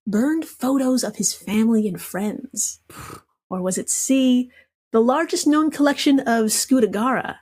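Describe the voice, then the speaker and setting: High-voiced woman hosting a playful quiz. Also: a little mellow. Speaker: podcast guest quizmaster. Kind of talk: comedic trivia quiz, reading questions with casual chat.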